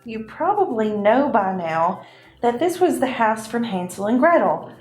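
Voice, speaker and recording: Slow, high-voiced woman storyteller. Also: sing-song, a little mellow. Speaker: puppet show narrator. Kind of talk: storytelling narration for children. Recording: roomy echo with music behind.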